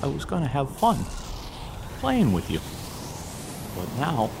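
Sadistic convict villain, creaky voice, very animated, pulp audio drama, noisy ambience. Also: male voice, medium pitch, full character voice. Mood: gloating menace. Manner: drawn-out sadistic threats building to shouts. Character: menacing male convict with magic powers, gloating killer.

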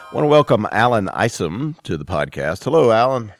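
A man's voice: fairly low pitch, big pitch swings, brisk, music in the background. A man hosting a podcast, announcing and interviewing in a casual, conversational way with somewhat hesitant phrasing. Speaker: podcast host.

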